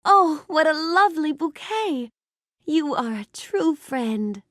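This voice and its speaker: A woman's voice: high voice, big pitch swings, takes it slowly, fairly bright tone, no room sound, studio quality, full character voice. A woman voicing a gracious, bookish young storybook heroine in a video game, speaking politely and expressively with careful enunciation. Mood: delighted wonder. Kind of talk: scripted video game character lines.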